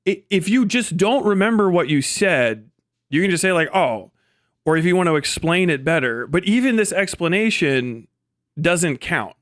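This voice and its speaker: A man's voice: sits high, animated, normal speed, fairly bright tone, dry recording, clean studio sound. A man hosting a livestream, giving casual, profanity-laced commentary in an exasperated, incredulous, argumentative manner. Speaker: livestream host reviewing own debate.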